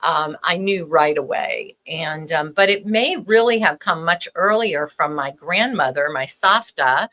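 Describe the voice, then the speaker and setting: Bright-voiced woman rabbi guest. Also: low voice, measured pace, few pauses. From Los Angeles. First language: Hebrew. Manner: relaxed, thoughtful, frequent ums. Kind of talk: conversational storytelling.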